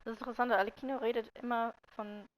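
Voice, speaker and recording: A woman's voice: on the high side, steady tone, fast. A woman, a native German speaker, commenting casually as she plays a video game. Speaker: gameplay commentator. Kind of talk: casual let's play commentary.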